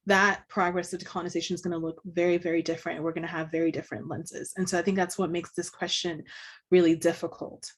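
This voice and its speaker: A woman's voice: lowish voice, flat delivery, fast, breathy edge. A young woman from Tanzania speaking on a panel, talking in a thoughtful, earnest and reflective way. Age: young adult. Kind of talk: panel discussion.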